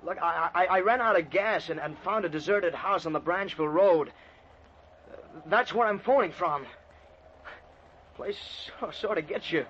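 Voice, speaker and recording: A man's voice: high voice, normal speed, a bit bright. An actor playing a nervous, stubborn husband in a radio play, stammering and blustering as he talks. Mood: uneasy. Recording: dull lo-fi radio recording, background hiss.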